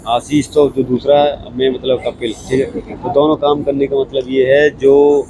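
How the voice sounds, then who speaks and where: A hoarse young man with a cold, chatting casually to camera as a vlogger and repeating himself often. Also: medium pitch, level tone, a little slow, noisy background. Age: young adult. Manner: chatty and repetitive, keeps saying okay. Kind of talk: casual vlog talk to camera. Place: railway station platform, outdoors. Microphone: clip-on mic.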